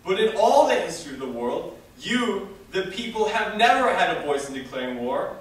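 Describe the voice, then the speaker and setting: A young man reading a political speech as theatrical oratory, declaiming with dramatic pauses and emphasis. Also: high voice, sing-song. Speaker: reader of a historical speech. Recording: echoey hall from far off, faint noise.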